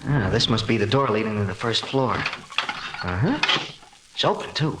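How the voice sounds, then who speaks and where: An actor playing a cocky, wisecracking newspaper reporter in a hissy 1940s radio drama. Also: male voice, fairly low pitch, expressive, moderate pace, lightly acted. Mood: confident, determined. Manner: brash, slangy wisecracking delivery.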